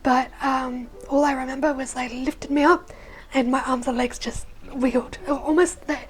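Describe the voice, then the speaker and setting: A young woman with a husky, hoarse voice tells her own story candidly, in a soft and breathy way, often with restarts and fillers. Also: high-pitched, fairly even intonation, moderate pace, poor audio. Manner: soft and breathy, drifting into whispers. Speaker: channel host. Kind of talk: personal storytelling.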